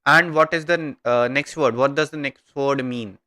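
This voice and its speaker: Bright-voiced Indian man livestreaming. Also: mid-range voice, normal speed, no room sound, clean studio sound. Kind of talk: casual gaming livestream chat with viewers.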